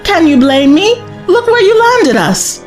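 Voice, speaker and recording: An actress playing a spirited, cheeky young woman companion in a science fiction audio drama, expressive and teasing. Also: very high voice, measured pace, soft background music, exaggerated voice. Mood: exasperated.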